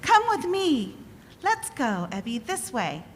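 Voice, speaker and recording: A woman's voice: on the high side, very animated, slow, breathy edge, heavily acted. A woman playing an eager, affectionate young sister in a 1940s-style radio play, bubbly and tender. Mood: joyful. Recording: reverberant hall.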